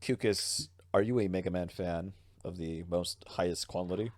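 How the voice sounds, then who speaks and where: A man's voice: deep voice, moderate pace, no room sound, clean studio sound. A man chatting casually on a podcast, blunt and slangy. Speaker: podcast panelist. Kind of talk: casual chat.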